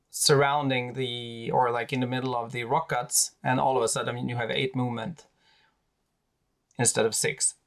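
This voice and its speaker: A man with a Swedish accent, a native Swedish speaker, talking casually through tabletop army lists with many pauses and fillers. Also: medium pitch, gravelly. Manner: hesitant, many fillers and restarts. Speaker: tabletop wargaming creator.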